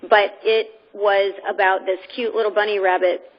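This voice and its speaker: A woman hosting a conference call, giving an informal, rambling and persuasive motivational pitch over the telephone. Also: little pitch movement, normal speed. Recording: muffled, band-limited conference phone line.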